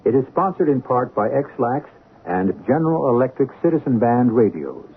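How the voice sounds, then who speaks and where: A man hosting and narrating a radio drama in a deliberate, theatrical scripted style. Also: medium pitch, big pitch swings, a little hiss or hum. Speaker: host and narrator. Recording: narrow-band old radio broadcast with music. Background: music.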